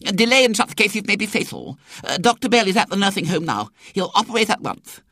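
A man's voice: high voice, expressive, brisk, sharp tone, dry recording, clean studio sound, voice pushed up, heavily acted. A man voicing a self-important young doctor in a story, pompous and portentous. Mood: officious.

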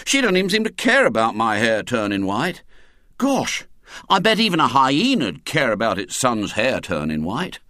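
A man's voice: mid-range voice, wildly swooping pitch, moderate pace, pushed and bright, no room sound, studio quality. A man voicing a sulky, melodramatic schoolboy who leads a gang, complaining with exaggerated self-pity. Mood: aggrieved. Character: sulky dramatic schoolboy, outlaw gang leader.

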